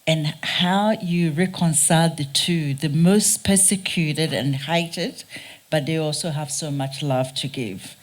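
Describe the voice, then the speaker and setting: A woman from Ghana with a Ghanaian accent, giving a prepared public talk in an earnest, deliberate, emphatic manner. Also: sits low. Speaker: guest speaker, race scholar. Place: speaking live at a theatre event.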